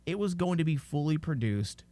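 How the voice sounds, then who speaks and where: A man's voice: a little high, animated, moderate pace, dark tone, no room sound. A man hosting a podcast, talking informally and giving his opinions in a casual discussion. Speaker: podcast host.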